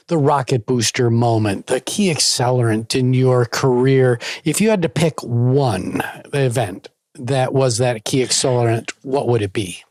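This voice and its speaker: A man's voice: medium pitch, darkish tone, dry recording. A man hosting a podcast, interviewing a guest in a conversational way. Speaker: podcast host.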